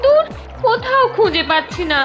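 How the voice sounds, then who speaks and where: Cartoon mother turtle: high, very animated, fretful and protective of her child, music underneath. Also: normal speed. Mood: fretful searching.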